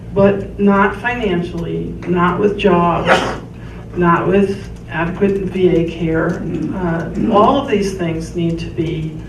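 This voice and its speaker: Woman in her 60s, emotional and earnest, audience mic far away, echoey noisy room. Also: on the low side. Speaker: audience member, mother of a soldier. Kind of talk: unscripted remarks at a town hall.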